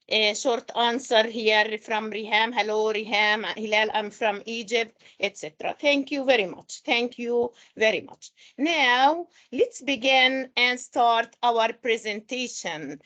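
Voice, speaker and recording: A woman's voice: on the high side, a bit bright. A woman from Palestine presenting an online teacher-training webinar, patiently greeting participants and repeating instructions.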